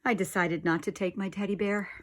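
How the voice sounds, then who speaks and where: A woman narrating a children's storybook like a teacher, with deliberate, expressive phrasing. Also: mid-range voice, a little hiss or hum. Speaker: teacher reading aloud to children. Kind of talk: storybook read-aloud narration.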